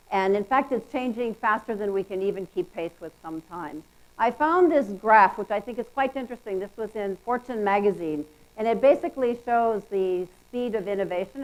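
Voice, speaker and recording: Loud, chatty woman giving a keynote. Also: medium pitch, poor audio. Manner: lively, chatty, self-deprecating. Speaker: conference speaker. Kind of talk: conference keynote talk. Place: conference hall.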